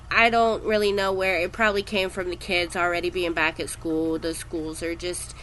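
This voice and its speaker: A woman's voice: fairly low pitch, a little hiss or hum, poor audio. A woman in her thirties with a head cold and a stuffed-up voice, chatting casually in a voice-over about cleaning her home. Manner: chatty, relaxed, with frequent ums. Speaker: cleaning vlogger.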